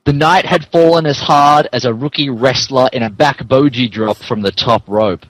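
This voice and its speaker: A man's voice: medium pitch, normal speed, a bit bright, no echo, poor audio. A man hosting a podcast and narrating a story, joking and mock-earnest, shifting between casual chat and dramatic reading.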